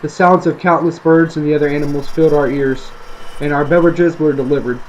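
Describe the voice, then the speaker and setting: A man's voice: a little high, steady tone, a little fast, poor audio. A man reading a creepy story aloud as scripted narration for online listeners. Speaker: storyteller, online video creator. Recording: faint background noise.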